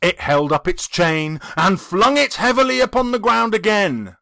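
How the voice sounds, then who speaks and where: Male audiobook narrator: emphatic, dramatic storytelling, crisp bright tone, close dry recording. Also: high-pitched, very animated, normal speed, no room sound.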